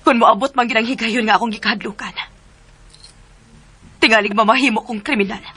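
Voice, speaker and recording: A woman's voice: on the high side, steady tone, a little fast, pushed and bright, breathy edge. A Filipino woman in a radio drama playing an earnest, deferential and fearful young woman. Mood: fearful, defiant. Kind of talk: Philippine radio drama acting.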